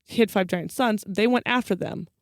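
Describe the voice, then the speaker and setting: A woman's voice: mid-range voice, moderate pace, no room sound, clean studio sound. A woman co-hosting a podcast, telling stories casually with flippant, slangy asides. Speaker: podcast co-host. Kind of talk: casual storytelling chat.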